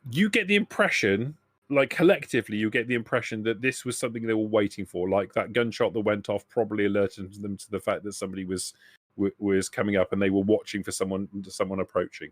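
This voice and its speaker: A man runs a tabletop game in a fairly low voice, recorded close and dry. He narrates off the cuff, hesitating and rewording as he builds each description. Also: no room sound. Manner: hesitant, halting, often rephrasing. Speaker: game master.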